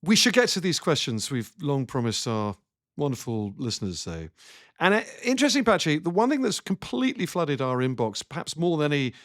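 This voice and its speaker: A man hosting a podcast as a journalist, lively and teasing in casual chat. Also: fairly high pitch, fairly quick, no room sound, studio quality.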